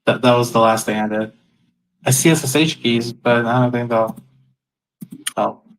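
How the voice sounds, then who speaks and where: A man's voice: mid-range voice, steady tone, normal speed, poor audio. A man searching for an answer while he talks, hesitant and thinking aloud with many ums. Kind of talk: casual chat.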